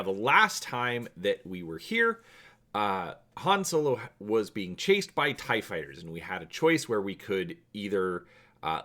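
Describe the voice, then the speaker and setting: A man's voice: fairly low pitch, sharp tone. A man hosting a story channel and reading an adventure aloud in a dramatic storytelling style. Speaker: host and narrator of a story channel.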